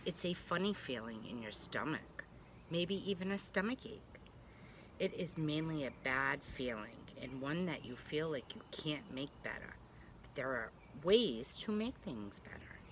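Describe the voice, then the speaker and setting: A woman preschool teacher reading a storybook aloud to young children, gently and reassuringly, with chatty asides. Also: sits low, expressive, unhurried, slight background noise. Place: at home.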